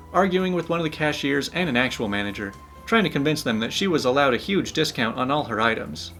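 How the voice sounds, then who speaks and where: Brief: young male host, mid-pitched voice, animated and playful storytelling, faint music behind. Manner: animated, playful, laughs while telling. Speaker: channel host, story reader. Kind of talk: reading online stories aloud with commentary.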